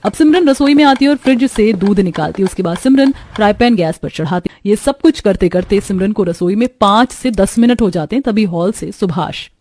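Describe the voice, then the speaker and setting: A woman's voice: mid-range voice, expressive, brisk, hardly any pauses, a little mellow. A woman reading scripted storytelling narration as the narrator.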